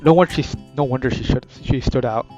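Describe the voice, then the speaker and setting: A man's voice: mid-range voice, quick pace. A man casually reading a visual novel aloud, chatting and correcting himself as he goes. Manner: self-correcting, chatty asides. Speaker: gaming video creator. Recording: dull sound with guitar music.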